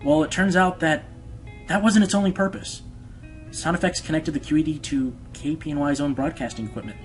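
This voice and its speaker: A man's voice: a little high, fairly even intonation, brisk, natural voice, rough recording. A man playing a passionate, self-deprecating male Pegasus radio host in an earnest radio play. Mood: hesitant, uneasy. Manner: earnest, hesitant, turning indignant. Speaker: radio show host being interviewed. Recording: music behind the voice.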